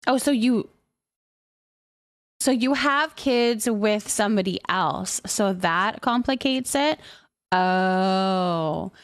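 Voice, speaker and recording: A woman hosting a live stream, chatting casually with viewers in an animated, expressive, sympathetic and informal way as she reads and answers their comments. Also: mid-range voice, slow pace.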